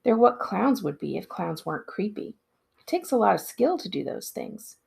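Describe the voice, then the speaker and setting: Skeptical young friend in a storybook, woman reader: plain objections, heavy fry, husky tone. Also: mid-range voice, very animated, moderate pace, darkish tone, voice pushed up, exaggerated voice. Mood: admiring. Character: skeptical, fed-up young friend of a kid narrator. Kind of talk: storybook character read aloud.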